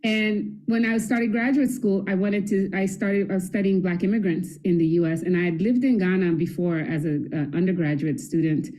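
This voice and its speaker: Mellow middle-aged woman telling stories. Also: mid-range voice, fairly even intonation, normal speed, few pauses. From Haiti. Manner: chatty storytelling with laughs and self-corrections. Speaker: guest academic. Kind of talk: conversational interview answers.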